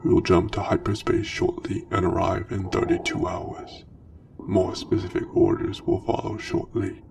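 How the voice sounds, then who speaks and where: Over noticeable background noise and at some distance comes a menacing dark lord, acted out by a man, very low and gravelly. He issues military orders in a measured, breathy, commanding way, in the hushed style of an ASMR roleplay. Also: fairly even intonation. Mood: stern, measured. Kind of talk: soft ASMR roleplay, scripted military briefing.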